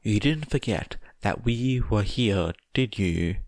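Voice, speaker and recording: A man's voice: fairly low pitch, expressive, slow, darkish tone, no room sound, studio quality. A man voicing a confident woman teacher, firm and teasing, in an audiobook.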